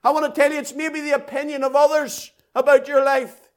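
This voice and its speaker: A man's voice: very high voice, flat delivery. A man preaching an impassioned evangelistic sermon, repeating phrases emphatically and drawing them out for dramatic weight. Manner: emphatic, repetitive, dramatic delivery with drawn-out phrases.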